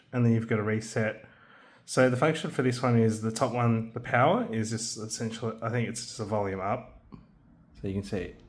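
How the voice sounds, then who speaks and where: A man with a young son, showing a handheld radio kit in a casual, hesitant way with frequent ums and restarts. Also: medium pitch. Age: adult, has a young son. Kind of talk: casual hands-on product demo.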